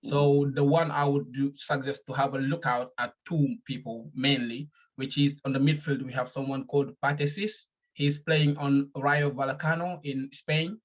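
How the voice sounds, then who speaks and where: A man from Senegal, a fan interviewed over a call, speaking calmly with frequent hesitations. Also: medium pitch, moderate pace, few pauses, dull audio. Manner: hesitant, many ums, calm. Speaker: guest fan. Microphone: phone or video call.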